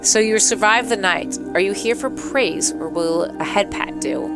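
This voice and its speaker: A smug, tailed male love interest from a visual novel game as read by a woman streamer. The delivery is sardonic and teasing at a medium pitch, with clear music underneath. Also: measured pace, lightly acted.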